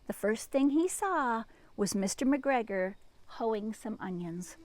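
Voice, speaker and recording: A woman reading a storybook aloud to young children, expressively, with dramatic pauses and little exclamations and asides to her listeners. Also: a little high, animated, a little mellow. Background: music and sound effects.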